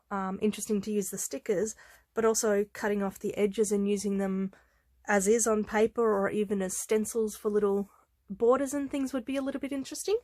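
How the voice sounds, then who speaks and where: A woman's voice: medium pitch, dark tone, no room sound, clean studio sound. A woman, a little congested from a head cold, casually showing craft supplies with plenty of ums and uhs. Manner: chatty, unhurried, with frequent ums and uhs. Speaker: craft vlogger. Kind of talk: casual show-and-tell vlog.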